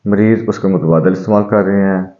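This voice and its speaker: Calm, deep-toned male doctor explaining remedies. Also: fairly quick. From Pakistan. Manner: calm, explanatory, addresses viewers as friends. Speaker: homeopathic doctor. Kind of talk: health advice video to viewers. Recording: dull, echoey room recording.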